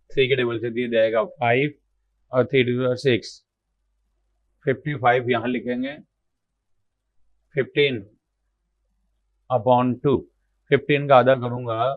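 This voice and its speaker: A man with a slightly hoarse, mid-pitched voice walks through exam math problems one step at a time, talking to himself as he writes. The sound is dull and lo-fi, recorded dry and close with no room echo. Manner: explains aloud while writing, repeats numbers, asks and answers his own questions. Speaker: math teacher.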